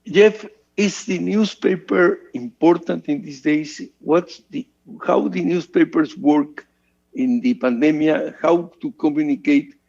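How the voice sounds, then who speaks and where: A man, a Spanish speaker, moderating a panel with slow, broken English questions. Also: fairly high pitch, creaky, darkish tone, highs cut off.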